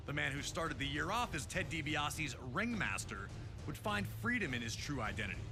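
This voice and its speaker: A man narrating wrestling history in a dramatic, hyped announcer style, reading from a script. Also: on the high side. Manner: emphatic, hyped announcer delivery. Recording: music bed under the voice. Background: music.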